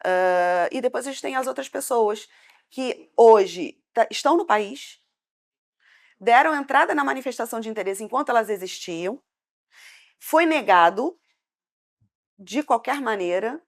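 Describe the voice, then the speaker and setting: A woman's voice: fairly high pitch, lively intonation, unhurried, long pauses. A woman with a Brazilian Portuguese accent, speaking as a debate panelist in an impassioned, hesitant way.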